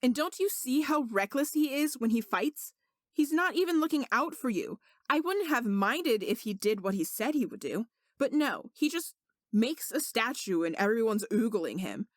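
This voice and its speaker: A woman narrator plays an aggrieved young male servant hiding his magic. The voice is high-set and dry, earnest and insistent, as in an audiobook fantasy tale. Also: big pitch swings, normal speed, no echo. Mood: indignant. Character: indignant young male servant, secretly a sorcerer.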